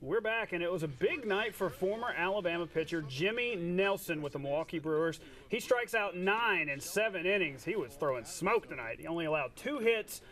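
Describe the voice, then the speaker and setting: A man co-anchoring a TV sportscast, narrating highlights in an informal, jokey way with playful banter. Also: expressive, brisk, few pauses, a bit bright, recorded from a distance. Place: TV studio.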